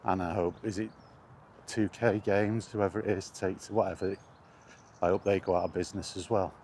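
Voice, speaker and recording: A man's voice: low-pitched, little pitch movement, normal speed, darkish tone, slight background noise. A man ranting indignantly in a casual spoken vlog, recorded while walking outdoors. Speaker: gaming vlogger.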